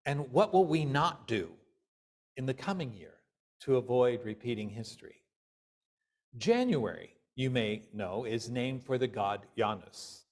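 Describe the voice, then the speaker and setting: A male minister delivering a sermon in deliberate, measured phrases with pauses. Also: mid-range voice.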